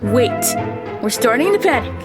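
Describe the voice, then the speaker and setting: A woman's voice: on the high side, sing-song, normal speed, loud music behind the voice. A woman playing an earnest, kind, jittery middle school boy in an audio drama, with hesitant, slangy phrasing. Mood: nervous.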